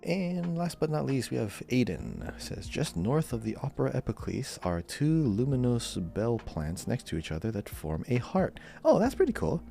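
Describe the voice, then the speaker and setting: A man's voice: mid-range voice, sing-song, normal speed, creaky, few pauses, warm tone, soft background music. A man co-hosting a gaming podcast, talking in a casual, conversational way. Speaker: podcast co-host.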